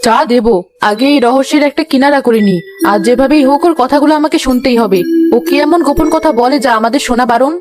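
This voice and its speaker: A woman's voice: high-pitched, fast, close to an everyday voice. A woman voicing a bossy, nosy, middle-aged mother-in-law in a cartoon, accusing and dramatic. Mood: indignant. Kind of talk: animated comedy story acting. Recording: loud music behind the voice. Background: music.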